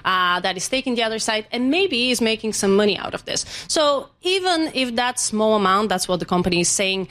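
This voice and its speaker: A woman's voice: fairly high pitch, expressive, brisk, few pauses, a bit bright. Woman explaining as an interviewee. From Bulgaria. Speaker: financial journalist being interviewed. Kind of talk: conversational expert explanation.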